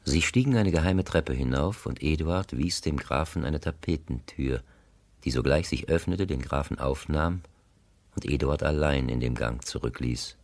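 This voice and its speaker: A man narrating a literary radio play, grave, composed and deliberate, on a close studio mic. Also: a bass voice, darkish tone, dry recording.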